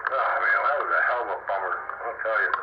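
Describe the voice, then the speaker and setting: Through loud static and a hollow echo comes a man on CB radio. He talks casually and plainly, wandering along in a folksy way. The sound is dull and muffled throughout. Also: lowish voice, quick pace. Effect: CB radio transmission. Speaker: CB radio operator. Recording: muffled, very noisy, echoing CB radio.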